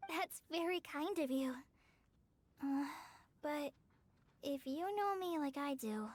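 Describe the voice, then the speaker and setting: A woman's voice: sits high, slow, dry recording. A woman voicing a shy, anxious, polite young girl in a video game, with a hesitant, stammering delivery. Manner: hesitant, stammering, soft-spoken.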